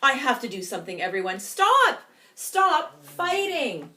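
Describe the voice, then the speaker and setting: A woman's voice: high voice, big pitch swings, measured pace, exaggerated voice. Woman doing a small, urgent prairie dog, Pipsqueak. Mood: pleading. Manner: urgent, pleading, shouting to stop others.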